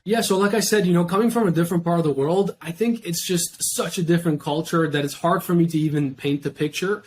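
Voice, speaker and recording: Young male guest from Iran; quick, slightly high delivery, faint hiss behind. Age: young adult. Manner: quick, steady and reflective. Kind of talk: casual interview talk.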